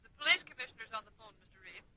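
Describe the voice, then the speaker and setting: A woman's voice: high voice, expressive, racing, pushed and bright, no room sound, lo-fi sound, heavily acted. A woman playing an efficient, deferential secretary heard through an intercom filter in old radio drama. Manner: crisp, deferential. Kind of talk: 1940s radio acting.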